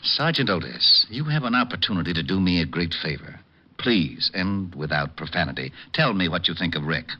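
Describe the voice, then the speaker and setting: A gruff, blustering police lieutenant in a 1940s radio crime show. His low voice swings widely as he gets sarcastic and riled. The recording sounds old and muffled. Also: sing-song, a little fast. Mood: incredulous. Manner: blustering, sardonic, clipped. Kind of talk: 1940s radio detective acting.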